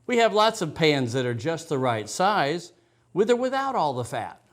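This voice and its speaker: A man's voice: fairly high pitch, sing-song, normal speed. A man voicing a curious, puzzled four-year-old girl who asks earnest questions in a rhyming children's storybook.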